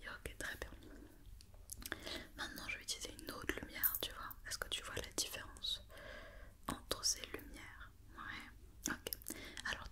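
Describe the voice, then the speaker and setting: A woman whispering an ASMR roleplay as a doctor, giving soft, repetitive, reassuring instructions.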